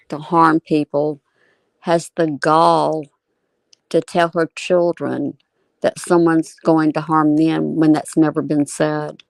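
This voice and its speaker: A woman speaking earnestly and insistently in a measured, unscripted live discussion. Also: low-pitched, vocal fry, no echo.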